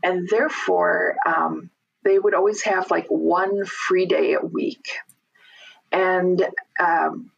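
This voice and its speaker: A middle-aged guest from Chicago, talking in an unhurried, thoughtful way with many pauses in a casual interview. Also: medium pitch, steady tone, creaky, dull audio.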